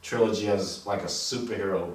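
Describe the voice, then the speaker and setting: A man's voice: low voice, fairly even intonation, a little fast. Echoey, slangy man bantering from a distance. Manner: joking asides, slangy, swears. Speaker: film review co-host. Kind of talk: casual banter. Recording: echoey room, distant mic.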